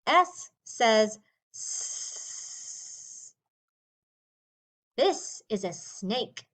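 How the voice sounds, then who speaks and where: A woman teacher giving a slow, clearly spoken English lesson to young learners, drawing out each word. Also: medium pitch.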